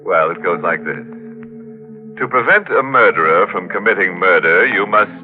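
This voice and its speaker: An actor playing a droll, macabre mystery host, wry and teasing, in 1940s radio style. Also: male voice, sits low, animated. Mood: sly, teasing. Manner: wry, teasing, deliberate, pun-loving. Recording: narrow-band old radio, music behind.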